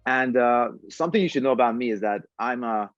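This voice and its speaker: A man giving conversational answers as a podcast guest, speaking in a halting way with frequent pauses. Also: mid-range voice, dry recording, lo-fi sound, faint music behind. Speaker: podcast guest, tech entrepreneur.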